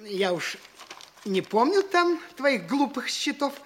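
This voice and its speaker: A conceited young gentleman from the capital in a comic audio play. His high voice is hugely animated, swooping through each phrase. He sounds pompous, flighty and thoroughly pleased with his own importance. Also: slow pace, slight background noise, exaggerated voice. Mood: irritated. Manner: affected, pompous, self-important. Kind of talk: classic Russian stage comedy radio acting.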